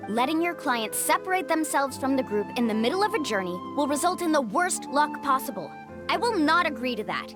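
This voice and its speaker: A woman's voice: high voice, very animated, brisk, over music. A woman playing a confident, determined young tour guide in a video game, speaking earnestly and with assurance. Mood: insistent.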